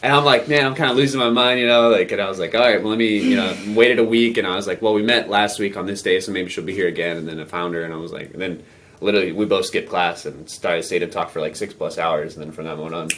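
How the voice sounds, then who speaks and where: A man in his thirties, a restaurant owner telling stories on a podcast in an animated, rambling way. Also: low-pitched, fast, slight background noise. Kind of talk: casual storytelling.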